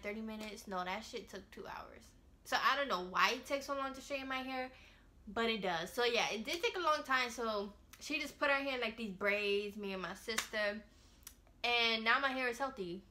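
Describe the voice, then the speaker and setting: A woman's voice: a little high, pushed and bright. A young woman vlogger telling personal stories in a casual, slangy, chatty way, laughing now and then. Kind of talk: casual storytelling to camera.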